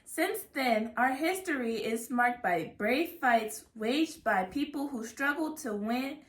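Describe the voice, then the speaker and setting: A young American woman reading a children's book aloud in a careful, measured way, in an echoey room. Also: on the high side, animated, a bit bright. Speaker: student intern and co-reader. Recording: echoey, roomy sound.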